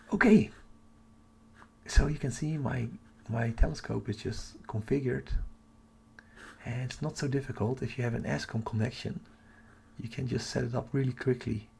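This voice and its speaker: Hushed, creaky-voiced man livestreaming. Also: fairly even intonation, moderate pace. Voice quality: hushed, half-whispered. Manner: keeping voice low for sleeping household. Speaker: astronomy livestream host. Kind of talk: casual live chat with viewers.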